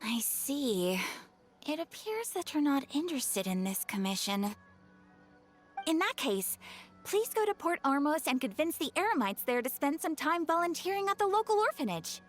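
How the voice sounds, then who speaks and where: An actress voicing a polite young guild receptionist in a video game, measured and formal with a quietly mischievous streak. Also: female voice, sits high, very animated. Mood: politely mischievous. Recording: music behind the voice.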